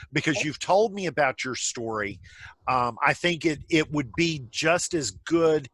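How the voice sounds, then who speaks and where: A man hosting a casual group discussion on a video call, speaking haltingly and often restarting his phrases. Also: on the high side, fairly bright tone, no room sound, poor audio. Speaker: host of a virtual play reading. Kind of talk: casual discussion, wrapping up a meeting. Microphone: video call microphone.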